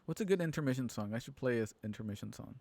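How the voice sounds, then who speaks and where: A man's voice: mid-range voice, lively intonation, rapid-fire, gravelly, darkish tone, no room sound, studio quality. A man hosting a livestream from home, chatting casually with his cast and audience. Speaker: host of livestreamed radio drama.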